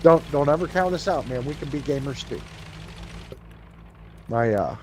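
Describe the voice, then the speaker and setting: A man's voice: medium pitch, lively intonation, moderate pace, a little hiss or hum. A man in his fifties playing along on a gaming stream, joking, teasing and poking fun at his own age. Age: 50s. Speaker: co-player on stream. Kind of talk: casual gaming banter.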